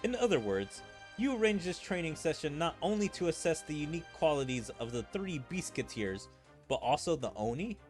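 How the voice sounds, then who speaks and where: A man's voice: fairly high pitch, very animated, brisk, soft background music. A man streaming a game, reading its dialogue aloud in a theatrical way and adding his own commentary. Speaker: gaming streamer.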